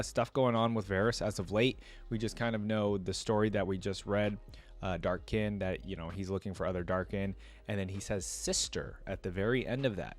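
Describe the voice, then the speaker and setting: A man reacting to game lore, reading wiki text aloud and musing casually with lots of fillers. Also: on the low side. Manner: reads lore aloud, then muses with many fillers.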